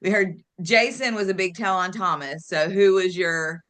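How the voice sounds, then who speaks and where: A woman's voice: fairly low pitch, moderate pace, a bit bright. Teasing woman asking questions. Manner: teasing, asks questions, laughs often. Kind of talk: casual interview chat.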